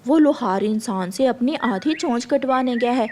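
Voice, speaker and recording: A woman's voice: on the high side, animated, moderate pace, dark tone, slight background noise, close to an everyday voice. A woman voicing a matter-of-fact, reproachful female neighbour bird in a children's cartoon.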